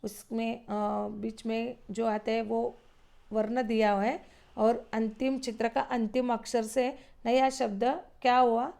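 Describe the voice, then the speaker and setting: A woman teacher slowly explaining a Hindi textbook lesson, patiently glossing each phrase in simple words with frequent hesitations. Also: a little high, flat delivery, creaky, dark tone. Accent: Marathi-influenced Hindi.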